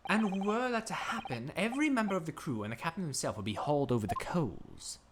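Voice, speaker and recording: Lady voicing a smug gentleman detective, low and slow, lectures a lot, music behind. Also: expressive, background noise, close to an everyday voice. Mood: lofty. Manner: lofty, deliberate, lecturing. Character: calm, superior gentleman detective. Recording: game music playing underneath.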